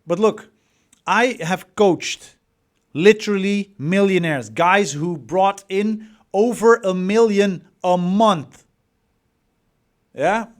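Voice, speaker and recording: A man's voice: high voice, slow pace, long pauses. A man in his forties from the Netherlands with a Dutch accent, giving an emphatic motivational coaching talk with rhetorical questions and repeated words. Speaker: dating coach.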